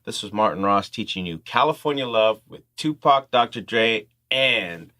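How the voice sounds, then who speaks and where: A man's voice: fairly low pitch, animated, moderate pace, bright, forceful tone. A man hosting an informal music tutorial, explaining things step by step in instructional talk.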